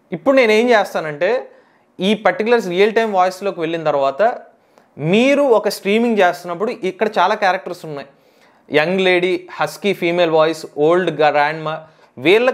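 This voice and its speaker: A man hosts a tech tutorial, explaining software step by step and repeating key phrases. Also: high-pitched.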